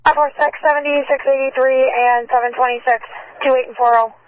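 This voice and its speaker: An American woman dispatches police units over a muffled scanner radio. Her delivery is rapid, clipped and procedural, reciting numbers in terse radio style, with a dry, close sound. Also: sits high, fairly even intonation, no echo. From United States.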